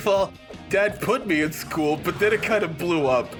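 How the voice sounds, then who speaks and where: Higher-pitched jokey man over game music. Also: moderate pace. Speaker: let's play co-commentator. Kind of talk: casual joking commentary. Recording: game music clearly behind commentary.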